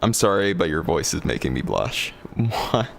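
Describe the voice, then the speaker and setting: A young man from the talks in a deep, gravelly voice, his pitch swinging widely as he rambles through viewer comments. He laughs easily and fills gaps with ums and likes. Faint music plays behind him. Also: sing-song, normal speed, a little hiss or hum. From Georgia, USA. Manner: laughs often, rambling with fillers. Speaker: VRChat streamer. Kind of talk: casual livestream chat reading comments.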